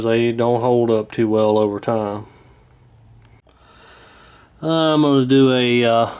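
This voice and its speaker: Folksy guy doing a casual fix-it video, mid pitch voice, talks as he works, no rush. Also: a little mellow. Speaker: host of a radio repair channel. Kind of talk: casual hands-on repair walkthrough.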